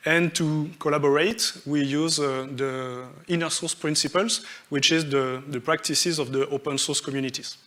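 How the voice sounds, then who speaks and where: A man giving a conference talk on stage, halting with many fillers and restarts as he lists his points. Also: fairly high pitch, steady tone, fairly bright tone. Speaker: conference speaker.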